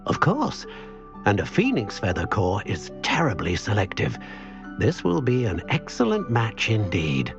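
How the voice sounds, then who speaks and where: An actor playing an eccentric, kindly older wandmaker who muses theatrically to himself in a video game. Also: male voice, lowish voice, huge pitch swings, a little slow, creaky, lo-fi sound, music in the background, exaggerated voice. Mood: delighted, encouraging. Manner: musing, murmuring to himself, theatrical. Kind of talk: video game cutscene acting.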